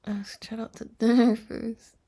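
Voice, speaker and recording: Soft, breathy, high-voiced woman streamer. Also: little pitch movement, unhurried. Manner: soft-spoken, often dropping into a whisper. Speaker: live streamer. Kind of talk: sleepy casual livestream chat to viewers.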